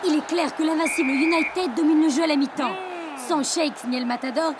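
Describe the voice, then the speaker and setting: A woman voicing a female football commentator in an animated series, announcing emphatically. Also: high voice, a little fast, heavy background noise, natural voice. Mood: commentating. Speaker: sports commentator. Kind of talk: cartoon match commentary. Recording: loud music behind the voice. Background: loud music.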